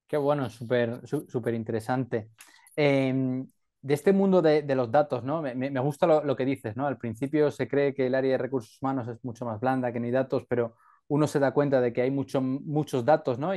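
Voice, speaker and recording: A man's voice: mid-range voice, mellow. A man hosting an interview podcast, friendly, stammering over words and tagging his questions with a questioning "no". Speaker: podcast host.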